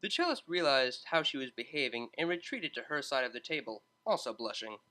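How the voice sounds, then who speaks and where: A man narrating a fan-written story in a steady, measured reading. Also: medium pitch, low-quality recording. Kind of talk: fanfiction audiobook narration.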